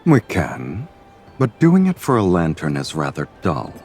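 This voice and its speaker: A man playing a suave, teasing, self-assured love interest in a video game, speaking in a smooth, wry manner. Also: low voice, wildly swooping pitch, measured pace, darkish tone, soft background music, heavily acted. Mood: playful, confident. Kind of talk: video game cutscene voice acting.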